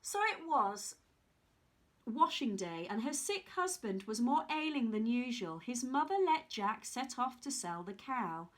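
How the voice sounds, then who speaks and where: A woman reading a children's story aloud in an expressive storytelling style. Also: on the high side, a little slow.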